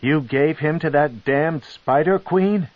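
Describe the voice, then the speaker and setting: A man voicing a defiant young male dark elf warrior who speaks bitterly and sarcastically. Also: on the low side, sing-song, unhurried, breathy edge, no room sound, exaggerated voice. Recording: dull lo-fi tape.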